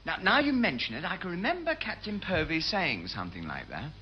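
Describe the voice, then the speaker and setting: An actor playing a dim, cheerful, easily flustered British naval officer with a dithering, earnest manner in a classic radio sitcom. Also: male voice, fairly high pitch, wildly swooping pitch, brisk. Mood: pleased, vague. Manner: silly-ass, dithering, earnest. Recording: dull, noisy old radio recording.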